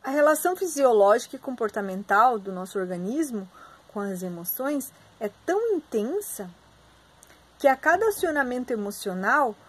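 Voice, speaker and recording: A young Brazilian woman lectures her students online, her voice hoarse and husky from strain. Her pitch sits a little high for a woman, and her words come out breathy. She fills the pauses with hesitations and checks in with the class. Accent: Brazilian Portuguese. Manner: filler-heavy, addresses students directly. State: hoarse, clearing her throat. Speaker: psychopedagogy student teaching a class. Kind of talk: online lecture reading from a textbook.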